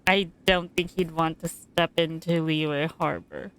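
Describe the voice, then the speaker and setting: A woman's voice: deep voice, steady tone, a little slow, fairly bright tone, clean tone. A woman streaming a game with casual, improvised commentary, musing aloud hesitantly and repeating words as she thinks. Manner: hesitant, musing, trailing repetitions.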